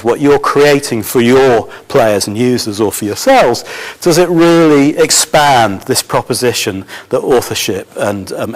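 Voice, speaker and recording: A man giving a conference talk as a film school course leader, halting and full of fillers and restarts, his voice a little hoarse. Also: medium pitch, poor audio. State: slightly hoarse.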